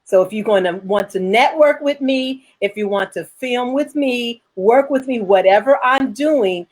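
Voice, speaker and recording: Female host, independent filmmaker, fairly high voice, plainspoken and confident with viewers. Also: unhurried. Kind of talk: panel chat, answering viewer questions.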